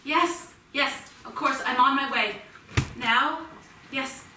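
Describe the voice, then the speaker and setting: A woman's voice: high voice, slow pace, sharp tone, heavily acted. A woman playing an anxious, pleading mother in a staged play reading, asking in a hesitant, worried way. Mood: alarmed, pleading. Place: small theatre, staged reading. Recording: noisy, very echoey theatre from far off.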